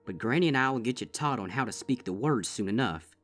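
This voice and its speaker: A man's voice: mid-range voice, expressive, fairly quick, a bit bright, faint music behind, pitched above the performer's own voice, natural voice. A man voicing a stern, protective older-sister farm pony with a drawl. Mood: solemn. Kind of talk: fanfic audiobook reading.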